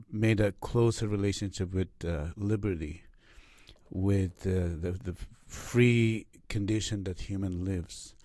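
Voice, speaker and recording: Deep-voiced hesitant Afghan man. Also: dark tone, dry recording, clean studio sound. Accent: Afghan Persian. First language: Farsi. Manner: halting, many fillers and restarts. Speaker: interview guest, writer and academic. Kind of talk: reflective interview answers.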